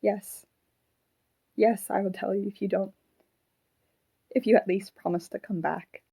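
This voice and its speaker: A woman voicing a sad, clinging haunted puppet with a young boy's ghost in it, in a halting, earnest fan audio drama performance. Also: medium pitch, steady tone, creaky, dark tone, husky, dry recording. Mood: pleading, upset.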